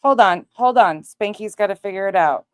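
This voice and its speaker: A woman's voice: medium pitch, expressive, normal speed, no room sound, clean studio sound. A woman commentating on played clips on an online talk show, casual, opinionated and emphatic.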